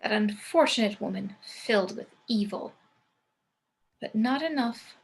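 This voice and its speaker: Female, fairly high voice; actress as a composed, elegant matriarch with dark deadpan wit, gothic family comedy. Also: a little slow, vocal fry, husky, full character voice. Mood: darkly dry. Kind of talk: amateur table read of a film comedy.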